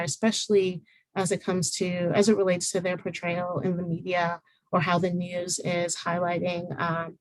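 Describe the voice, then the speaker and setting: Woman moderator, fairly low voice, friendly and filler-heavy, dry close sound. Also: dry recording, rough recording. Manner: friendly, many fillers and restarts. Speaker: panel moderator. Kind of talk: casual online panel hosting.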